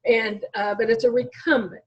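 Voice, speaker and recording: A woman's voice: a little high, little pitch movement, normal speed, highs cut off. An American woman in her sixties talking conversationally as an interview guest, telling her own story. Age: 60s.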